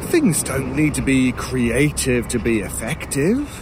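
A man's voice: mid-range voice, sing-song, measured pace, noisy background. A man playing a sinister talking crow-like scavenger in an audio horror drama, formal, erudite and drily mocking. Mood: cold, mocking.

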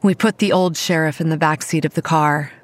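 An actress playing a young campground manager who narrates a horror audio drama in a wry, dry, understated way. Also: female voice, sits low, fairly even intonation, brisk, natural voice. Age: young adult.

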